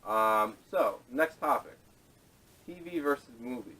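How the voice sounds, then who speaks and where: A man hosting a casual podcast discussion, speaking haltingly with repeated words, picked up by a distant camera microphone. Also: medium pitch, expressive, sharp tone. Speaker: podcast host. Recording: distant, salvaged camera audio.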